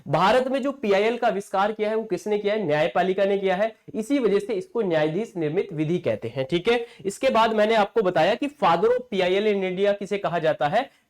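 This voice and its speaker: A male exam coaching teacher lecturing in an explanatory, repetitive way, posing rhetorical questions and answering them himself. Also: sits high.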